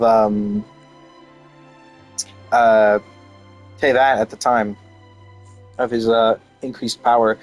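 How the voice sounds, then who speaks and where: A man streaming a game, giving casual commentary in a rambling, hesitant way. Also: medium pitch.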